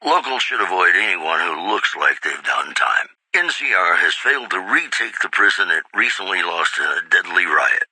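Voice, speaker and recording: An actor playing a suave radio DJ who reads wasteland news in a polished, measured announcer style for a video game. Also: male voice, low voice, very animated, sharp tone, husky, no echo, exaggerated voice, rough recording. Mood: composed, polished. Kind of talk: scripted radio news bulletin.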